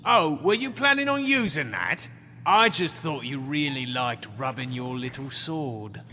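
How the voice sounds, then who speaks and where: A man's voice: mid-range voice, lively intonation, measured pace, sharp tone, faint music behind. An actor playing a cocky, sarcastic henchman in a video game, with a mocking, flippant and defiant way of talking. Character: cocky, sarcastic wisecracking male thug henchman under interrogation. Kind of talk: dramatic video game acting.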